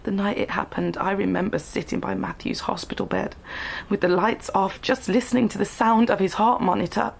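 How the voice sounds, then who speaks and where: An actress playing a wounded, bitter grown daughter in a radio drama, speaking with subdued resentment. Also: female voice, medium pitch, fairly quick, creaky. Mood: bitter, pained.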